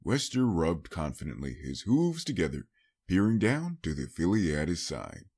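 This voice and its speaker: A man's voice: low voice, huge pitch swings, normal speed, darkish tone, slightly rough. A man reading a scripted story as an audiobook narrator, in a dramatic storytelling style.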